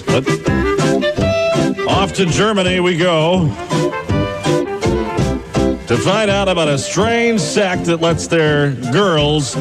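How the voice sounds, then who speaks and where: A man working as a radio DJ and host, with wry, jokey patter as he reads reminders and oddball stories. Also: mid-range voice. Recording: radio aircheck with music behind the voice. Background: music.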